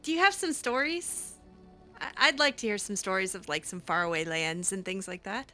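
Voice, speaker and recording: A woman improvising casual in-character game roleplay, speaking in a halting, awkward but expressive way. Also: medium pitch, soft background music. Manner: halting, awkward, expressive, breaks into laughs and sighs. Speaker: roleplay gamer, series host.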